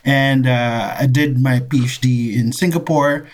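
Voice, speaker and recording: A man in his thirties, from the Philippines, speaks as a podcast guest. He talks in a friendly, unhurried way, pausing and restarting his thoughts now and then, and his pitch sits at an average level. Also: fairly even intonation. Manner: friendly, hesitant with restarts and laughs. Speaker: guest, professor. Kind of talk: casual interview answers.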